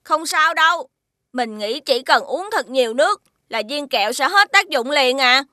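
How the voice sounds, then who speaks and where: A woman's voice: sits high, animated, moderate pace, pushed and bright, dry recording, clean studio sound. A woman voicing a small, childlike, earnest alien kid in a children's cartoon. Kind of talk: children's animated series dubbing.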